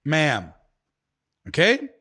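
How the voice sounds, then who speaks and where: A man's voice: fairly high pitch, drawn-out words, husky. A man with a Brazilian Portuguese accent teaching a live online English lesson, explaining playfully and reading viewer comments. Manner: animated, playful, explaining with imitations.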